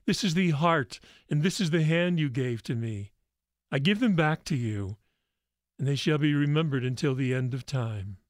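A man voicing a gentle female angel in a religious story, tender, consoling and solemn. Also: on the high side, expressive, normal speed, no echo, studio quality, close to an everyday voice. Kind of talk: dramatic religious story reading.

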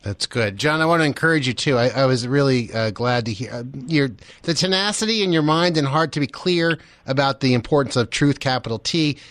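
A man's voice: medium pitch, lively intonation, brisk, hardly any pauses, fairly bright tone, no echo. A man hosting a radio interview in a conversational, encouraging and affirming manner.

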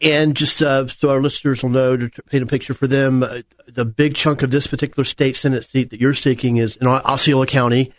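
Halting male talk host, dry close sound. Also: medium pitch, little pitch movement, no echo. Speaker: radio talk show host. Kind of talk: conversational interview hosting.

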